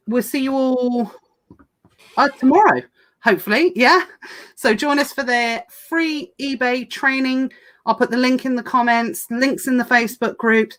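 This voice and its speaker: A woman hosting a casual livestream chat, talking fast with lots of fillers and restarts, her voice going hoarse. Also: on the high side, a bit bright. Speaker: podcast host.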